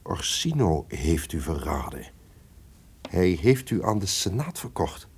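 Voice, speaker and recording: Scheming, powerful duke for radio drama: deep-leaning voice, menacing authority, stately archaic delivery. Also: male voice, big pitch swings, unhurried, gravelly, darkish tone, breathy edge, heavily acted. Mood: stern reading. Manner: declamatory, archaic formal diction. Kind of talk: theatrical Dutch radio drama acting.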